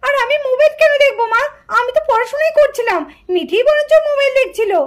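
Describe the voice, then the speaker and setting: A woman's voice: very high-pitched, fast talker. A woman voicing a studious, exasperated young girl, an older sister who complains and pleads, in a puppet doll cartoon. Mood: indignant, pleading.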